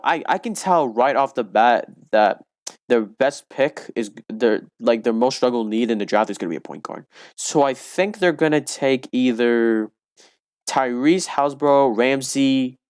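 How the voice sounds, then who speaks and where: Loud young man rambling through game commentary. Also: mid-range voice, measured pace, dry recording, clean studio sound. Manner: rambling with frequent restarts and fillers. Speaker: gaming commentator.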